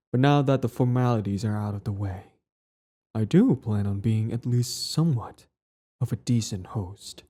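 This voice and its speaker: A man playing a cold, menacing young villain prince in an ASMR roleplay, with a taunting, condescending drawl and soft threats that sink toward a whisper. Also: on the low side. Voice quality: husky, breathy, dropping into whispers.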